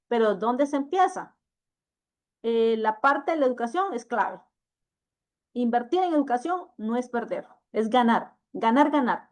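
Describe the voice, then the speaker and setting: A woman from El Salvador leads a panel discussion as an educator, her voice sitting a little high. She speaks in a measured, thoughtful way, pausing often on fillers as she builds her points. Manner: measured, hesitant with frequent fillers.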